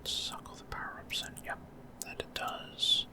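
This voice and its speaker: A man giving casual ASMR video game commentary in a breathy, unvoiced whisper, explaining things without hurry. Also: moderate pace. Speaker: gaming ASMR creator.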